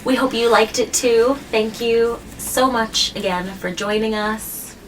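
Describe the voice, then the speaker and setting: A woman hosting a children's read-aloud, explaining things simply and gently to a child and often checking in with questions. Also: fairly high pitch, steady tone, a little slow. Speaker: host of a children's story read-aloud show. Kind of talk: picture-book reading and simple explanations to a child. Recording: distant mic, faint background noise.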